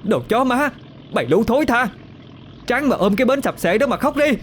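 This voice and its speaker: A man's voice: very high voice, expressive, normal speed, a little hiss or hum, voice pushed up. A man voicing a scheming young woman with a Southern Vietnamese accent in an audio story. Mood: spiteful cursing. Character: scheming, foul-mouthed young woman feigning innocence.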